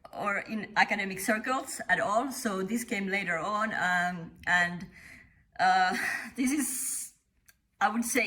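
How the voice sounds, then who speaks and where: Bright-voiced Finnish woman, measured and thoughtful. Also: mid-range voice. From Finland. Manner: measured, thoughtful, with frequent "uh" pauses. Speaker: company founder. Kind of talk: interview monologue.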